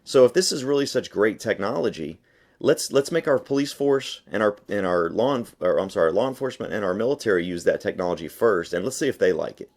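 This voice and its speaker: A man's voice: fairly low pitch, lively intonation, fairly quick, a little mellow. A man being interviewed by phone, answering argumentatively in an emphatic, insistent manner. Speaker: interview guest, gun rights advocate. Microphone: phone call.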